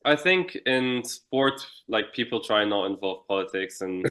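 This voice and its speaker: Young guy w/ irish accent, low-ish bright voice, earnest and a bit halting. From Israel. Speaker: interviewed athlete. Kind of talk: casual interview answers.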